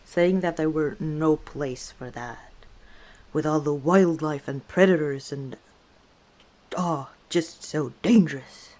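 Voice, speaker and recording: Woman playing a low, mellow, teasing orc woman. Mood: chatty, confiding. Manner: unhurried, playful teasing with frequent chuckles. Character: easygoing, teasing female orc tavern owner. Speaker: ASMR roleplay performer. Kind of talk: ASMR roleplay, one side of a conversation.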